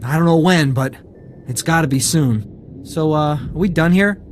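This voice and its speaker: An actor playing an aggrieved, shifty informant in a video game, whining and wheedling for payment. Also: male voice, a little high, moderate pace, slight background noise, natural voice. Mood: grudging. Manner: whiny, indignant, wheedling.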